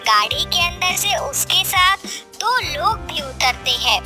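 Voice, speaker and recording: A woman narrates a cartoon storybook tale in a high, lively voice. Her delivery is scripted storytelling, with music running underneath. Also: takes it slowly. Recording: music bed behind the voice.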